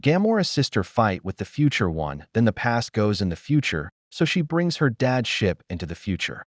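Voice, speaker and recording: A man's voice: lowish voice, sing-song, moderate pace, no echo, clean studio sound. A man retelling a story as an amateur reviewer, in short, choppy, loosely worded sentences. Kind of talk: simple spoken retelling of a film's plot.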